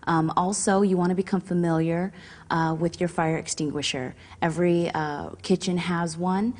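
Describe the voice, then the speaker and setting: A woman with a low voice explains safety guidance like an advisor. Her phrasing is halting and instructional, feeling its way through each point. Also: steady tone, a little mellow. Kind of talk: semi-scripted instructional explanation.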